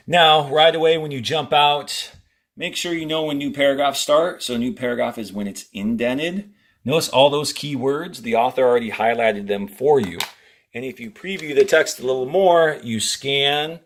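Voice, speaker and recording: Male elementary teacher, mid-pitched, giving deliberate instructional read-aloud with short directives for young pupils. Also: fairly bright tone. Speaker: fourth-grade teacher.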